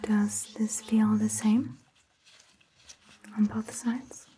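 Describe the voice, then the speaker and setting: A woman playing a caring vampire doctor in an ASMR roleplay, whispering gently and reassuringly in a breathy, hushed voice. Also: medium pitch, level tone, a little hiss or hum. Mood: gentle, reassuring. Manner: gentle, slow, reassuring, softly questioning. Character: caring, attentive female vampire doctor tending a patient. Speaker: ASMR performer. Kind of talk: soft-spoken ASMR roleplay, mostly whispered.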